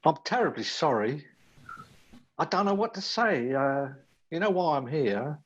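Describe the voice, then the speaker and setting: An actor playing an earnest, sympathetic detective sergeant in a radio play. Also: male voice, on the high side, animated, a little slow, slightly rough, lo-fi sound. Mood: gentle, regretful. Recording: live-streamed audio, faint noise.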